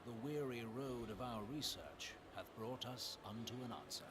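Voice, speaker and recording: An actor playing a solemn, courteous scholar in a fantasy video game, speaking formal, archaic English in a stately way. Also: male voice, medium pitch, normal speed, lightly acted. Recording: loud ambient noise behind game dialogue.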